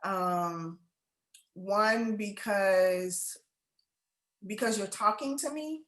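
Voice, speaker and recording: A woman hosting a conversation, a writing coach, speaking in a heartfelt, halting way. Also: mid-range voice, a bit bright. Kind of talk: casual conversation. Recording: echoey room.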